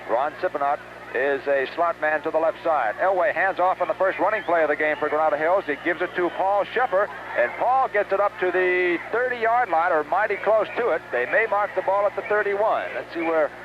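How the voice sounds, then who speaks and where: An American man gives live football play-by-play in a high-set voice. The audio is a muffled 1970s radio broadcast with clear background noise, recorded at an outdoor game.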